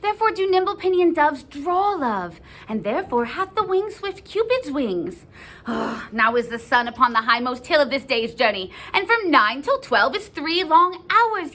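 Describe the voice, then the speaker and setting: A woman's voice: high voice, bright, forceful tone, voice pushed up, full character voice. A woman playing an impatient, lovesick teenage girl, reciting theatrical verse in a pleading, dramatic way.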